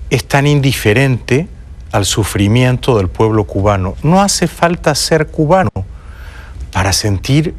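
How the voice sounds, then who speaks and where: A Peruvian man hosting a talk show, delivering an indignant, sarcastic monologue with teasing asides. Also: medium pitch. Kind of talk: opinionated monologue.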